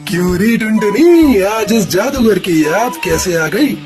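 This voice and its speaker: Woman as gruff suspicious cartoon wizard, middling pitch, clear music underneath. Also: expressive, unhurried, close to an everyday voice. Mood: suspicious, stern. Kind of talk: children's cartoon dubbing.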